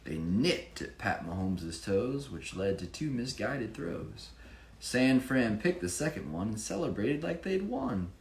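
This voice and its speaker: A man reading his own children's picture book with expressive emphasis, pausing to explain it casually to a child. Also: medium pitch. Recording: echoey room with faint background noise.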